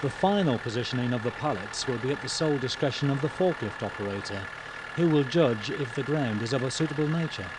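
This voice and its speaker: A man reading scripted instructional narration for a training film in a formal, measured way. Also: medium pitch, expressive. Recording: noisy 1990s training video, engine sounds.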